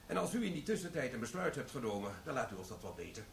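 A stern security manager, played by a man, formal and dry in everything he says. His voice has a gravelly texture and a lively pitch. The radio-play recording sounds roomy and echoey. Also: very fast, a little hiss or hum.

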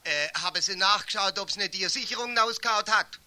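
A practical Bavarian mechanic in a 1970s radio play. His voice is high for a man and extremely bright and sharp. He gives advice in an obliging, matter-of-fact way. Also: fairly even intonation, brisk, soft background music. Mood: helpful, matter-of-fact. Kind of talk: 1970s German children's radio play acting.

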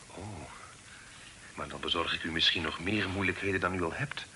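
An actor playing an honest, dutiful young gentleman in a period radio drama, speaking formally and earnestly. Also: sits low, normal speed. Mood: polite, hesitant. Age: young adult. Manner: earnest, formal, sometimes hesitant. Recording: noisy old radio drama recording.

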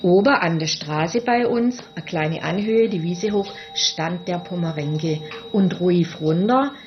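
Low-voiced woman, dull recording. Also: takes it slowly, a little hiss or hum. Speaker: policeman's daughter interviewed. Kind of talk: personal interview recollection.

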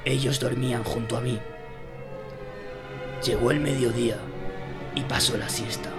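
Casting: haunted old sea captain, creaky gravelly voice, brooding suspenseful storytelling, radio drama with prominent music. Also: male voice, medium pitch. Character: haunted old sea captain recounting a ghost ship tale. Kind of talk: dramatic first-person storytelling in an audio drama.

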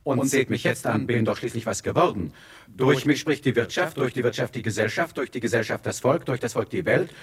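A husky-voiced, self-important male career adviser in a satirical radio play. He declaims at a middling pitch, hammering words home with insistent repetition and a domineering air. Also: a little fast. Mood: preaching, self-important. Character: domineering pompous male career adviser preaching to young people.

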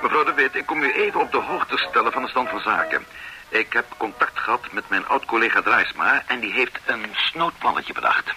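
A man's voice: medium pitch, lively intonation, fairly quick, music in the background, lightly acted. An actor playing a genial, sly investigator who explains his schemes in a confiding, slightly theatrical way in a radio drama. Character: sly middle-aged private schemer, genial.

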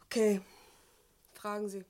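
A woman's voice: medium pitch, level tone, measured pace, darkish tone, lightly acted. An actress playing a brash young female crook in a crime radio play, snappish, impudent and wary. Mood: grudging.

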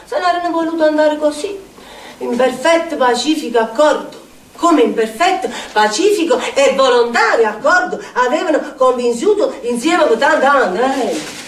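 An actress playing an eccentric, earthy Neapolitan storyteller in a declamatory theatrical monologue, with a strong Neapolitan accent. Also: female voice, high voice, lively intonation, normal speed. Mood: mournful, grotesque. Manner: declamatory, dramatic, sing-song repetitions. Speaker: stage actress. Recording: echoey theatre stage, distant mic, faint noise.

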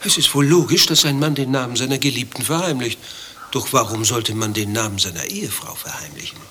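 Actor as prickly evasive gentleman, bright tense voice. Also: mid-range voice, a little fast, slight background noise, natural voice. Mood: guarded politeness. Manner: formal, over-polite, flustered indignation. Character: evasive, prickly male rival, a secret lover waiting. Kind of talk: German satirical radio play acting.

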